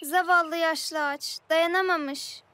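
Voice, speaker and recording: Little girl for kids' cartoon: very high, unhurried, expressive, over music. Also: full character voice. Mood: sad, concerned. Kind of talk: children's cartoon dialogue. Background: children's music.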